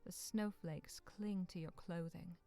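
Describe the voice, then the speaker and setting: A woman reading scripted dramatic story narration in a measured, solemn, sometimes hushed way. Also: fairly low pitch, steady tone, creaky, faint music behind. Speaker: narrator of a visual novel.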